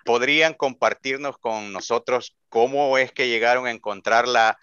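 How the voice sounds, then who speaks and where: A man's voice: medium pitch, normal speed, pushed and bright, clean tone, no room sound, lo-fi sound. A man hosting a metal show, asking long, polished interview questions on a video call. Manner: polished, formal phrasing. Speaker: podcast host, interviewer.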